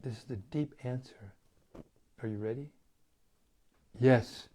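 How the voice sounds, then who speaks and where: A hoarse man losing his voice, hosting a daily scripture reading in a relaxed, chatty way over an online video stream. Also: medium pitch, slow. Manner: relaxed, chatty, with light chuckles. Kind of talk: casual talk and Q&A on scripture.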